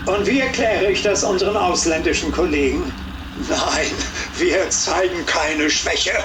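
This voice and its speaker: A man's voice: high-pitched, measured pace, distant mic, background noise. A male actor playing a stubborn, proud German Nazi commander in a video game cutscene, speaking with a German accent. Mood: defiant.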